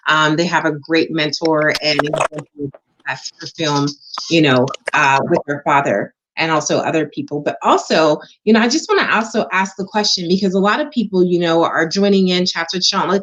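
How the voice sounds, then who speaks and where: A woman's voice: lowish voice, moderate pace, background noise. A woman hosting an interview, affirming and encouraging her guest, her audio slightly muffled. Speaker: interview host. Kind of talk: casual interview.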